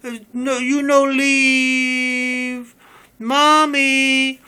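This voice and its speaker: A man's voice: very high-pitched, flat delivery, extremely slow, sharp tone, smooth, pitched above the performer's own voice, heavily acted. A shrieking childlike young man. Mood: panicked crying. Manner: broken baby talk, shrieking cries. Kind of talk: amateur script reading.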